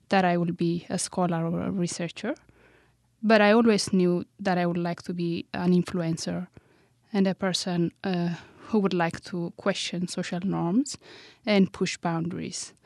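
A woman with an Ethiopian accent, a research scholar introducing herself and her work in a measured, careful way. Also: fairly low pitch, vocal fry, a little mellow, no echo, clean studio sound. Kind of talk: self-introduction about research.

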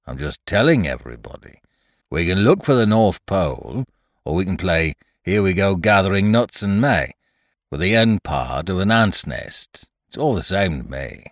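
Morose donkey, very low, gravelly, ponderous, grumbling, storybook character. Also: male voice, very animated, normal speed, no room sound, clean studio sound. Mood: gloomy, resigned. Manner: ponderous, stumbles over long words. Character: gloomy, put-upon, grumbling old toy donkey. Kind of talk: children's storybook reading.

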